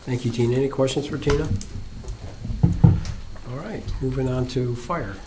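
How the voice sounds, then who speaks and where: Low-voiced woman chairing a meeting. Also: steady tone, heavy background noise. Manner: brisk, moves the agenda along.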